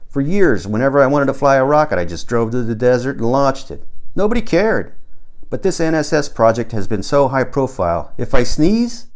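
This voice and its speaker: A man's voice: medium pitch, very animated, normal speed, pitched above the performer's own voice, natural voice. A man voicing a brash, boastful, obsessive amateur rocket builder in an audiobook, in plain colloquial speech. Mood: defiant boasting.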